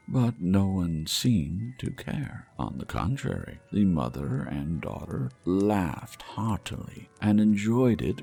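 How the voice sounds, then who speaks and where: A man reading a classic novel aloud in a measured, expressive storytelling manner. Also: rumbling low, creaky, husky. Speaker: audiobook narrator. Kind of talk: scripted narration of a classic novel.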